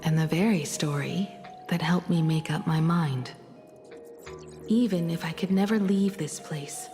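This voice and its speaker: An actress playing a devoted, nostalgic young adult daughter and star navigator in a video game, speaking softly and sincerely with composure. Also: female voice, lowish voice, natural voice. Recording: music under the dialogue.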